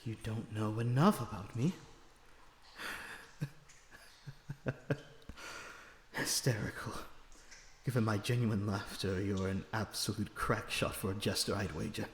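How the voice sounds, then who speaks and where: A man playing a lonesome captive vampire in an audio roleplay, weary, bitter and sardonic, with measured dramatic phrasing. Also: fairly low pitch, fairly even intonation, lightly acted. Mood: sardonic teasing. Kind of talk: ASMR audio roleplay acting. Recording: echoey reverberant room with background noise.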